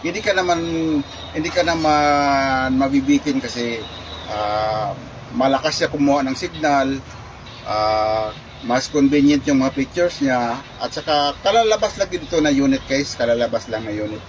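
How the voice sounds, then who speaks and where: A man's voice: mid-range voice, normal speed, a little hiss or hum. Chatty man, muffled lo-fi sound. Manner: chatty, repeats himself, many restarts and fillers. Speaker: product reviewer vlogger. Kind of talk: casual product review. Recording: muffled lo-fi recording.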